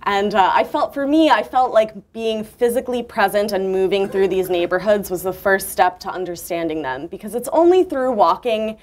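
A woman's voice: mid-range voice, moderate pace, hardly any pauses. A woman author at a book launch conversation, thinking aloud at length with fillers and restarts, heard from a far camera in a university lecture room.

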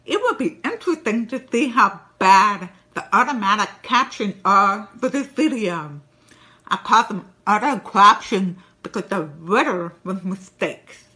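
A woman with a deaf accent speaks slowly and carefully into the camera for a short tip video. Her pitch is lively and swings widely as she explains. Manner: deaf accent, slow deliberate speech. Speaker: presenter in her own recorded tip videos. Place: home room with shelves.

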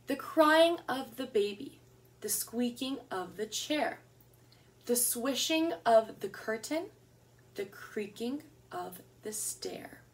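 A young woman teacher giving an online lesson from her home, speaking gently and encouragingly to children and reading a poem aloud. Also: a little high, animated, slow, recorded from a distance, rough recording. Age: young adult. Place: home room with plants and shelves, indoors. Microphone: webcam at desk distance.

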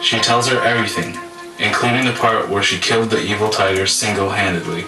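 A man's voice: fairly low pitch, fairly even intonation, a little fast. A man narrating a puppet-show folktale as a scripted story. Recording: echoey, distant, loud music behind.

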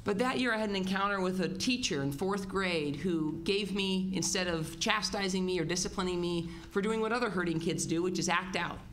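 A woman in her thirties with a head cold, speaking in a deep voice as she tells a personal story at a candidate forum. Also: brisk, few pauses, a little hiss or hum. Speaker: political candidate. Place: meeting room with small audience. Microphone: table mic.

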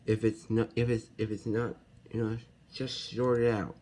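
A man giving a casual software tutorial step by step, haltingly and correcting himself as he goes. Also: lowish voice, level tone. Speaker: tutorial host. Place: indoors at a computer. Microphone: handheld camera or phone filming the screen. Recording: noisy handheld recording with computer clicks.

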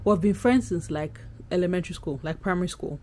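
A woman's voice: on the low side, fairly quick, warm tone, slight background noise. Chatty Nigerian woman vlogger. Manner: candid, emphatic, conversational. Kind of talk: casual chatty vlog to camera. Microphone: camera at arm's length.

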